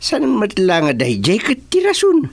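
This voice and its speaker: An actor playing a lively, bickering rural villager in a comedy radio drama. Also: mid-range voice, moderate pace.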